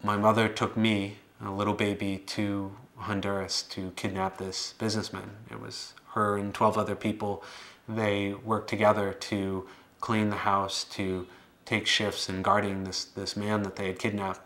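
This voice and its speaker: An American man in his late twenties giving personal testimony to camera in a plain-spoken, measured way, with some hesitant phrasing. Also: on the low side, flat delivery, fairly bright tone. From Boston area, United States. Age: late 20s. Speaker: documentary subject telling his life story. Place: living room, indoors.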